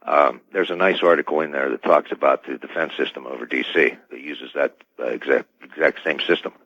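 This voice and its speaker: American male expert guest, very deep bass, halting and hedging delivery, dry and slightly lo-fi radio line. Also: steady tone, dry recording. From United States. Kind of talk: call-in radio interview. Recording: dull band-limited radio line.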